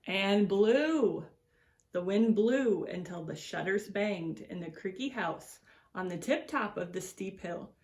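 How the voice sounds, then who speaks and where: Woman for children's read-aloud: unhurried educator, swooping expressive delivery, mid-pitched voice, words stretched for effect. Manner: deliberate, expressive storytelling with drawn-out words and swoops. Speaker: extension educator reading aloud to schoolchildren.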